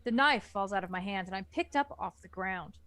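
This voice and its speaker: A woman's voice: mid-range voice, brisk, a bit bright, no echo. A woman reading scripted first-person story narration as the narrator of an amateur book reading.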